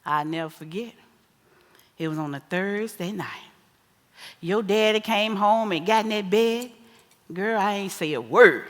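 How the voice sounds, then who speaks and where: A woman's voice: medium pitch, natural voice. A woman on stage playing a bawdy, boisterous older mother who schools her grown daughter, sassy and preachy in a big theater hall. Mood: confiding, playful. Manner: sassy, preachy, exclamatory, drawn-out emphasis. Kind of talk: broad comedic stage play acting. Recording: echoey theater hall with long reverb.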